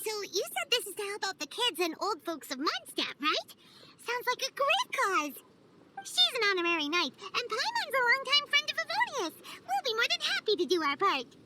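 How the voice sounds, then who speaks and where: A woman voicing a small, childlike fairy companion in a video game, cheerful, excitable and self-important, with a chirpy manner. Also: very high-pitched, normal speed, very noisy, full character voice. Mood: excited, proud. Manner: speaks of herself in third person, chirpy.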